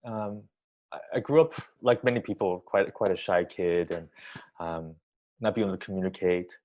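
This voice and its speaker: Soft-spoken, halting man, lo-fi. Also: low-pitched. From Taiwan, raised in the US. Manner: thoughtful, halting, many restarts and ums. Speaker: orchestra music director. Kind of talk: reflective interview answers. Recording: dull lo-fi sound.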